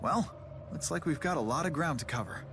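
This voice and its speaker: An actor playing an earnest, kind, gentle young man in a fantasy adventure game, polite and sincere in his delivery. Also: fairly high pitch, expressive, a little fast, noisy background. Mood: earnest, reassuring. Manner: polite, sincere, soft-hearted. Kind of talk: video game cutscene voice acting. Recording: game music behind the voice.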